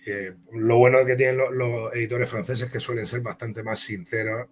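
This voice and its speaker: A man's voice: mid-range voice, fairly even intonation, normal speed. A man from Spain with a Castilian Spanish accent, telling career anecdotes as an interview guest in a rambling, conversational way. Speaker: comic book author and interview guest.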